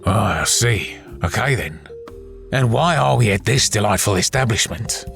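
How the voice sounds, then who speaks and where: A grumpy space adventurer acted by a man talks bluntly and sarcastically in a low voice. It is an audio drama, with music clearly underneath. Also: lively intonation, moderate pace, a bit bright. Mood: sceptical. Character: grumpy, sceptical, foul-mouthed male space adventurer.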